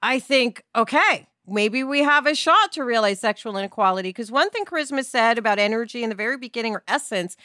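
A woman's voice: on the high side, expressive, brisk, hardly any pauses, bright, forceful tone, no echo, clean studio sound. A woman talking expressively in a casual panel discussion, often acting out quotes as she goes. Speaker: podcast panelist.

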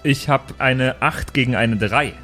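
A man's voice: mid-range voice, unhurried, bright, forceful tone, soft background music. A man joking in a mock-serious way as a player in an improvised tabletop role-play podcast.

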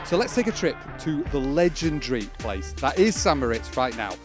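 A man presenting a scripted sports podcast in a brisk, upbeat way, over a music bed. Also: fairly high pitch, big pitch swings. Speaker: podcast host.

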